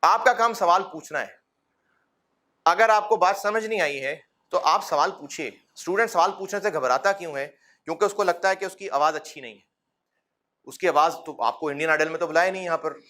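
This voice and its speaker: A middle-aged man lecturing a classroom of accounting students in a fatherly, encouraging way, with rhetorical questions and gentle humor. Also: fairly high pitch, fairly quick.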